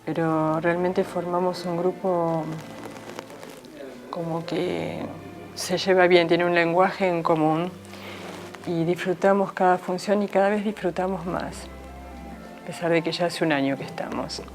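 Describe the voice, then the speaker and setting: An actress talks about building her stage role, speaking in a low voice with relaxed pauses and fillers. Faint music plays behind her. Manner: relaxed, reminiscing, with many fillers. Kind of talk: interview about a play.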